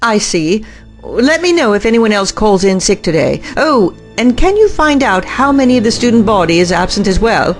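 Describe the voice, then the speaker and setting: A woman's voice: mid-range voice, very animated, normal speed, soft background music. A woman playing an imperious, impatient boss with a commanding, clipped manner in an audio drama. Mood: demanding.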